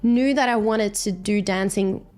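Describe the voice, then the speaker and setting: A woman's voice: mid-range voice, unhurried, darkish tone. A woman in her twenties with an Australian accent, chatting as an interview guest in an upbeat, reflective way. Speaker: guest dancer. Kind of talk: casual interview chat.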